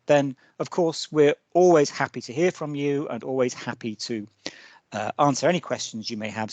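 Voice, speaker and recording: A man's voice: medium pitch, no echo. A middle-aged man hosting a live webinar over a video call, genial and lightly joking, often restarting his sentences. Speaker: webinar host, senior scholar.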